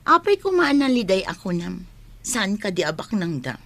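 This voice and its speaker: An actress with an Ilocano accent playing a forward, materialistic young college student in a radio drama. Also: female voice, medium pitch, sing-song, moderate pace, fairly bright tone. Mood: cynical. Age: young adult.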